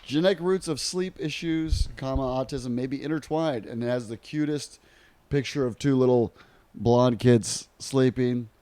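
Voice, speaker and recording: A man's voice: mid-range voice, normal speed, warm tone, no echo. Joking man, dry close sound. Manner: joking, bantering. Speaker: podcast co-host. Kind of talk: casual chat.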